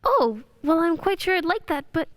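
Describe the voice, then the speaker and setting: A woman's voice: very high voice, sing-song, moderate pace, no echo, studio quality. A woman playing a young girl in a radio play, earnest, plain-spoken and a little doubtful. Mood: curious. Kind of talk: stage-style radio play acting.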